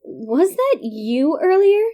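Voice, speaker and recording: A woman's voice: sits high, very animated, slow pace, mellow, full character voice. A woman voicing a friendly, easily startled cartoon pony nurse in an audio story, sweet and fussing. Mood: startled, relieved. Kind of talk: dramatic fan fiction audio reading.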